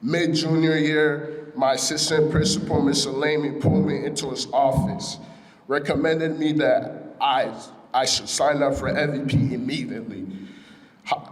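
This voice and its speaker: A man's voice: fairly high pitch, steady tone, normal speed. A young man from Ghana, a student speaker, reading an earnest prepared speech at a ceremony with small stumbles. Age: young adult. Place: large hall at a school ceremony. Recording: echoey hall with long reverb.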